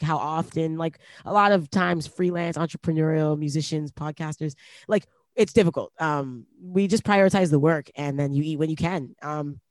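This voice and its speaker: A woman's voice: on the low side, darkish tone, no room sound. A woman in her late twenties, a rapper from Canada, talking reflectively in a casual interview, with many "like" fillers and easy laughter. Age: late 20s. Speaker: rapper guest.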